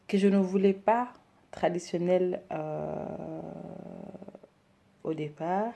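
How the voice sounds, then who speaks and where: Slow, gravelly-voiced woman in her thirties. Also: lowish voice, dark tone. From Rwanda, raised in Belgium. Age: 30s. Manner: hesitant, many pauses and fillers. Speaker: decor business owner introducing herself. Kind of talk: personal introduction to camera.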